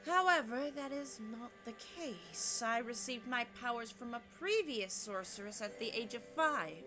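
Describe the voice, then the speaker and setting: Game sorceress, calm and sorrowful, lively in pitch but hushed, read by a woman over her own gameplay. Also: measured pace, soft background music. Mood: solemn, gentle.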